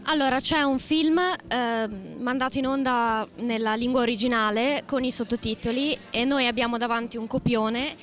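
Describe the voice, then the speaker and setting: A woman explaining her work in an interview, hesitant and pausing between phrases. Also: fairly high pitch, steady tone, faint music behind. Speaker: volunteer film dubber, interviewee.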